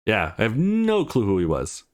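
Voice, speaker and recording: A man's voice: fairly low pitch, wildly swooping pitch, normal speed, darkish tone. A man voicing an earnest, troubled teenage boy in a visual novel, serious and insistent as he explains himself. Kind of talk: visual novel character reading.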